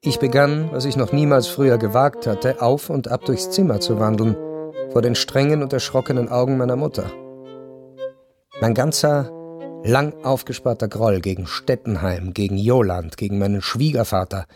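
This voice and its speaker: An actor playing a reflective narrator who recalls his family's decline, in formal, measured literary narration for radio. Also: mid-range voice, music in the background, close to an everyday voice. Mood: sober, measured.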